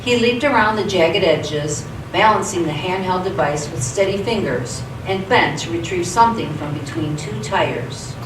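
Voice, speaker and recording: A woman's voice: fairly low pitch, animated, moderate pace, hardly any pauses. A woman reading scripted story narration for a radio theatre production. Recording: echoey stage, distant mic, clear noise.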